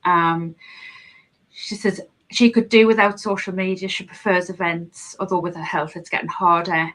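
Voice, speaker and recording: A woman's voice: fairly low pitch, fairly even intonation, normal speed, a bit bright, poor audio. A woman from Scotland hosting a live podcast, chatting casually and reading out viewer comments, laughing often and restarting her sentences. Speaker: podcast host.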